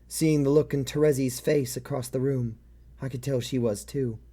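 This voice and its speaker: A man's voice: medium pitch, steady tone, normal speed, warm tone, lightly acted. A man voicing a world-weary, fatalistic private detective who narrates a noir story in a brooding, dramatic way. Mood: grimly resolved.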